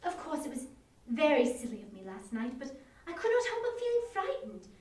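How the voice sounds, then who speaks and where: A woman plays a fearful, tender Victorian wife in a story reading. The high voice is pleading and expressive. The recording is roomy. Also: fairly quick. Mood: anxious, gentle. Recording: roomy, distant-mic live online reading.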